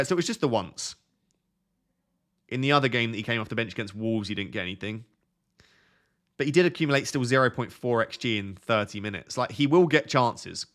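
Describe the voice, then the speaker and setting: A man's voice: medium pitch, fairly bright tone. Soothing young man streaming chat. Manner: reads viewer questions aloud and answers them; self-described relaxing, soothing voice. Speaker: fantasy football streamer. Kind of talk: casual live stream chat.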